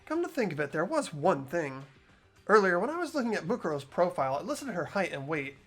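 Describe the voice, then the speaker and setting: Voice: medium pitch, sing-song, fairly quick, soft background music. A performer voicing an earnest, hesitant teenage boy student who stammers and questions things. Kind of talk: amateur voiced game playthrough.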